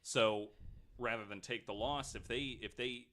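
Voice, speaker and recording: A man's voice: mid-range voice, steady tone, unhurried, fairly bright tone. A man on a podcast panel, thinking aloud and speculating, full of "like" and "I mean". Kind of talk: casual panel chat.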